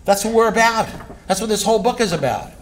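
A man's voice: high-pitched, expressive, fast talker, a little hiss or hum. A man giving an impassioned talk at a recovery meeting, blunt and emphatic, hammering points with repetition and the odd curse word.

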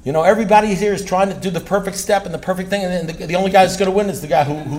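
High-pitched guy giving a fired-up recovery talk, blunt, repeats himself, cusses a bit. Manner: blunt, emphatic, repeats points, swears. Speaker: speaker at a recovery meeting.

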